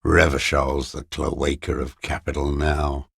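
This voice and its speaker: A man playing a bitter, hateful old communist deserter in a video game, brooding and contemptuous, with a gravelly, raspy voice and halting delivery. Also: extremely low pitch, level tone, a bit bright, no echo, clean studio sound, full character voice. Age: older. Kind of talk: video game character monologue.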